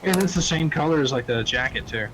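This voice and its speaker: A man chatting casually in game voice chat, slangy, excitable and stumbling over words. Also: on the high side, fast talker, a crowd in the background, poor audio. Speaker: game player.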